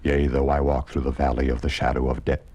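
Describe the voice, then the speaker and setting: An American actor playing a calm, devout reverend in a 1950s radio drama, speaking solemnly and gently. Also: male voice, very deep voice, fast, husky. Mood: solemn prayer. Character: calm, devout reverend comforting a dying friend. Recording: noisy old 1950s radio recording.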